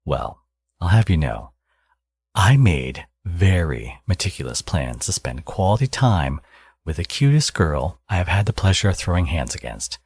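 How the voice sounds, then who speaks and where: A man playing a smug, teasing supervillain and doting father in an intimate ASMR audio roleplay, with sardonic, drawling threats and a husky voice. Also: deep voice, very animated, moderate pace, darkish tone, no room sound, heavily acted.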